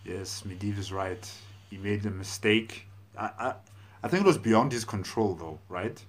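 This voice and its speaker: A man in his thirties giving casual, halting, thoughtful commentary as a video host, indoors on a lapel mic. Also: fairly low pitch. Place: small room indoors.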